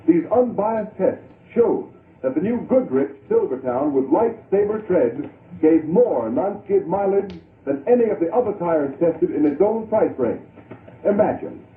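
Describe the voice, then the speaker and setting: A man reading a vintage radio advertising pitch as the announcer. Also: distant mic. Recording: tinny, echoey old radio recording.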